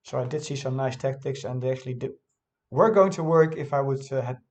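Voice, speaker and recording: A man's voice: medium pitch, brisk, darkish tone. A man who is not a native English speaker, casually thinking aloud as he reviews his own game, hesitant and often correcting himself.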